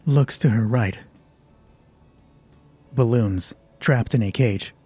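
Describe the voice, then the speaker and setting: A man narrating a solo tabletop horror roleplay as game master in measured, short descriptive phrases. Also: medium pitch, warm tone, breathy edge. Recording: music behind the voice. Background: music.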